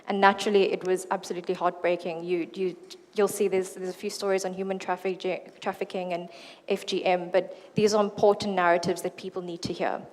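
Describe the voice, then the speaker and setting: A woman's voice: lowish voice, a little fast, a little hiss or hum. Woman speaker at a conference, easygoing, bit of reverb from the hall. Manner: relaxed, with fillers and restarts. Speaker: keynote speaker. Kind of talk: conference presentation.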